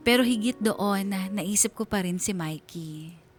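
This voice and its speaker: A heartbroken letter writer voiced by a woman narrates in the first person. Her medium-pitched voice is reflective and emotional, phrased deliberately over soft background music. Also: lightly acted. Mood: tender, sad.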